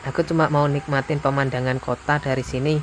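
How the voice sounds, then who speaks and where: A man voicing a withdrawn young Indonesian hiker who speaks briefly and casually in an animated horror story. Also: medium pitch, a little fast, slight background noise, natural voice. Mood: subdued. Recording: faint music behind the dialogue.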